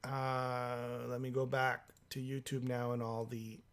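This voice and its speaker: Host, male, hoarse from a cold, reading viewer comments on a live stream, halting delivery, mid pitch. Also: level tone. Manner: halting, thinking aloud, many fillers. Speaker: livestream host.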